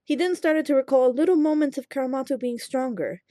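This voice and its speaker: A woman reading fan fiction aloud as an expressive scripted narration. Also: sits high, brisk, no room sound, clean studio sound.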